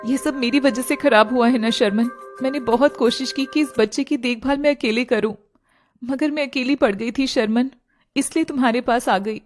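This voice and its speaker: Woman voicing a high, coaxing young city woman. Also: moderate pace, natural voice. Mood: tearful pleading. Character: pregnant young city woman, clingy, sweet-talking, pleading rival. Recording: music playing behind the voice.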